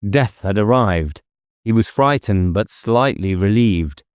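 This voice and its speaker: A man reading a novel aloud as an audiobook narrator, in a measured, dramatic storytelling manner. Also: fairly low pitch, lively intonation, smooth, no room sound, clean studio sound.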